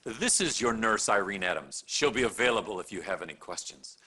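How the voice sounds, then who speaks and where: An actor playing a smug, vain, middle-aged hospital chief of staff in a video game cutscene, pompous and self-satisfied. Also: male voice, on the low side, animated, a little fast, pushed and bright, breathy edge, heavily acted. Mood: businesslike. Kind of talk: live-action video game cutscene acting.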